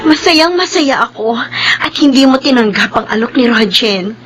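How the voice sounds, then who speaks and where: A woman's voice: fairly high pitch, animated, normal speed, a bit bright, soft background music. An actress playing a devoted, tender woman in love in a Filipino radio drama, speaking with heartfelt feeling. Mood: curious, glad.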